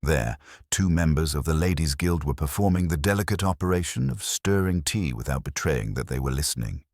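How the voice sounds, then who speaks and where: Very deep-voiced male storyteller with expressive swings. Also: a little fast, dry recording, studio quality. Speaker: narrator. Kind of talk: scripted audiobook narration of a gentle mystery story.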